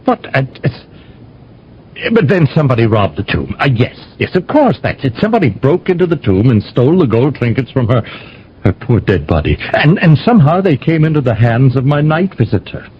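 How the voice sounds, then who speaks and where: A man's voice: medium pitch, big pitch swings, a little fast, a little hiss or hum, lightly acted. A man acting as an agitated, stammering young lover in a theatrical old-time radio drama. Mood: flustered pleading. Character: agitated young lover insisting his night visitor lives. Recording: dull radio drama recording with music.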